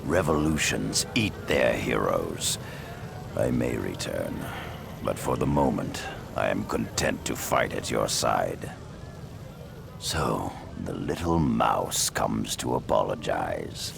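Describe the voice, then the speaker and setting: An actor playing a grim, proud and vengeful beast-warrior rebel leader in a video game, with a deep gravelly growl and a terse, commanding delivery. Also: male voice, animated, slow, loud music behind the voice, heavily acted. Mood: wry, calm. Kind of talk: dramatic video game acting.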